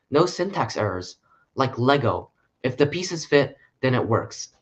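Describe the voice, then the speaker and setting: A man presenting a short conference talk in an earnest, steady way. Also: mid-range voice. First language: English.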